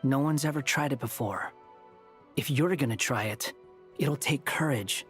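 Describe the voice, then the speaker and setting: A man's voice: medium pitch, measured pace, lightly acted. An actor voicing a cool, skeptical male companion in a video game, terse and guarded. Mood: dry, cautious. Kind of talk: dramatic video game voice acting. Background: faint game music.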